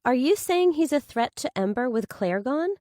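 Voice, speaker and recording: A woman's voice: high-pitched, sing-song, normal speed, darkish tone, no echo, studio quality, pitched above the performer's own voice. A woman voicing a blunt, sarcastic, distraught sister who questions incredulously, in a thriller audiobook. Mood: alarmed.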